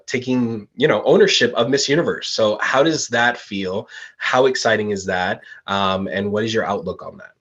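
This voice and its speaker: A man interviewing a pageant contestant, enthusiastic and quick to praise, with frequent ums. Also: lowish voice, fairly bright tone, dull audio. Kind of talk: live interview.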